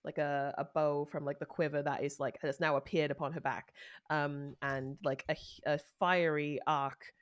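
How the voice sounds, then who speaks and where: A woman's voice: deep voice, level tone, moderate pace, clear, resonant tone. A woman casually playing a tabletop game, searching for words as she describes her character's actions. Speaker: player.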